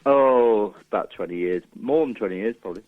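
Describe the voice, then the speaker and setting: A man's voice: on the low side, a little fast, no room sound, narrow, tinny audio, faint music behind. A man in his forties chatting casually as an interview guest, informal and hesitant, rambling a little. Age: 40s. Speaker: radio guest, doctor and record label owner. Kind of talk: casual chat.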